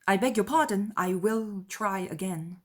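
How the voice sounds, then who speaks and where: A woman voicing a spirited young woman in an audiobook drama, with an animated, emphatic manner, playful yet sincere. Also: medium pitch, measured pace, natural voice. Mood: earnest, emphatic. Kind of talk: dramatized audiobook character dialogue.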